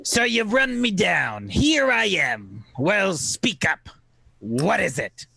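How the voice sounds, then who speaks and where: A man playing a gruff, ailing old pirate sea captain who craves rum, pleading desperately in salty seafaring speech for a radio play. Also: high-pitched, very animated, slow pace, sharp tone, a little hiss or hum, voice pushed up, heavily acted. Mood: defiant.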